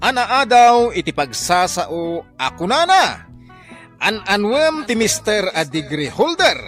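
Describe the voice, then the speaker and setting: A man's voice: high voice, very animated, takes it slowly, a bit bright, faint music behind. A man hosting a radio drama program in a showy, sing-song announcer style. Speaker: program host.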